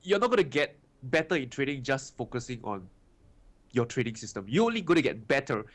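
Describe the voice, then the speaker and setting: A man's voice: medium pitch, sing-song, brisk, a bit bright. A man in his twenties from Singapore with a Singaporean English accent, answering interview questions about his start in trading in a chatty way, often tagging "right" and "you know". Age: 20s. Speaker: guest trader.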